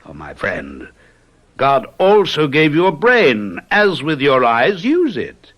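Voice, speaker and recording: An actor playing a shrewd, patronizing gentleman detective in a radio mystery drama, courtly and self-assured, with a pompous, teasing, musing manner. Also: fairly high pitch, wildly swooping pitch, takes it slowly, exaggerated voice. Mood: condescending, probing.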